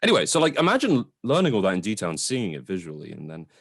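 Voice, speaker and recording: Male co-host, lowish voice, very lively intonation, effusive casual talk. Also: fast talker, no echo, studio quality. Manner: enthusiastic, effusive. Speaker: podcast co-host. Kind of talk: casual film discussion chat.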